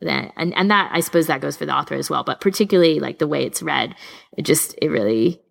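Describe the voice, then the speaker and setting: A woman's voice: low-pitched, fast talker, vocal fry. A woman co-hosting a podcast, chatting casually and informally with emphatic opinions. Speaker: podcast co-host. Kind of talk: casual fan chat.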